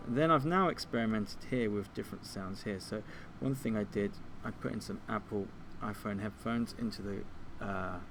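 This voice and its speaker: A man giving an informal software tutorial, explaining things step by step like a teacher. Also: lowish voice, moderate pace, few pauses, noisy background. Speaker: music technology teacher.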